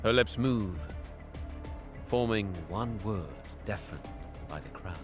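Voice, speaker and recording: A man's voice: lowish voice, slow pace, music in the background. A man playing a bitter, scheming young alien prince in an audio drama, with theatrical, disdainful delivery that savors cruelty. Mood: brooding, disdainful. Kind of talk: dramatic first-person narration and dialogue, audio drama.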